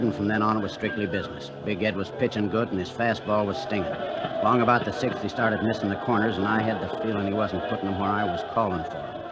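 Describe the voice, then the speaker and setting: Man playing a low-voiced young ballplayer, old radio drama. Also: highs cut off, close to an everyday voice. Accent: American. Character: earnest, touchy young rookie baseball catcher. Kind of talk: 1940s radio acting, first-person narration and dialogue. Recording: vintage radio recording with background music.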